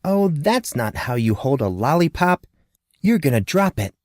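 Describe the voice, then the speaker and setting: Man playing a curious, chatty little girl, pitched up. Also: very animated, measured pace, a little mellow, no room sound, studio quality. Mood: concerned. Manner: naive, exclaiming, questioning. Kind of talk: audio drama storytelling.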